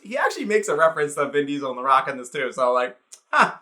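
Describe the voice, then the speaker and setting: A man in his thirties giving a casual, comedic movie review, rambling excitedly with swearing and jokey asides. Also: a little high, fast talker. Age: 30s.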